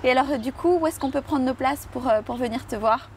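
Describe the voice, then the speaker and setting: A woman's voice: sits high, fairly quick, a little hiss or hum. A woman radio host interviewing a guest, enthusiastic and full of compliments.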